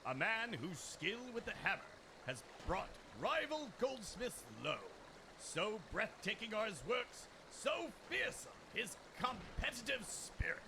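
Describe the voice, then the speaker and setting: A man voicing a pompous, boastful gentleman inspector in a video game, with grandiloquent, declamatory delivery. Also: sits high, big pitch swings, a little slow, background noise, full character voice. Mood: dramatic storytelling. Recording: loud music behind. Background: game music.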